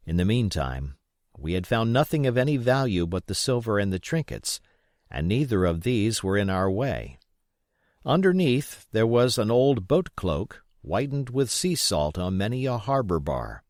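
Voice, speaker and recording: A man reading an adventure novel aloud as expressive scripted narration. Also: fairly low pitch, normal speed, no echo, clean studio sound. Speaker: audiobook reader.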